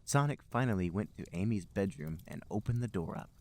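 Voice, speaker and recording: A man's voice: on the low side, expressive, fairly quick, a little mellow. A man hosting a podcast, reading amateur fiction aloud as comic narration with an emphatic storybook delivery.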